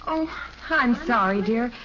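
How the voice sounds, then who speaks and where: Lively, placating American radio mom. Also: female voice, on the high side, a little slow. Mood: soothing. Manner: placating, mild, solicitous. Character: gentle, soothing middle-aged housewife and mother. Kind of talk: 1950s radio sitcom acting. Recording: dull, noisy old radio broadcast recording.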